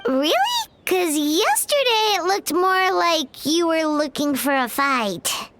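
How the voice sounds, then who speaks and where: A woman voicing a small, bold, excitable girl companion in an anime game, with a cheeky, blunt, exclamatory manner. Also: very high voice, slow, noisy background, exaggerated voice. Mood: curious, doubtful. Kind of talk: anime game dialogue acting.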